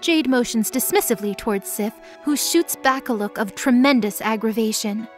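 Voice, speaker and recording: High-voiced woman narrator. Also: moderate pace, faint music behind. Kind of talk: scripted story narration.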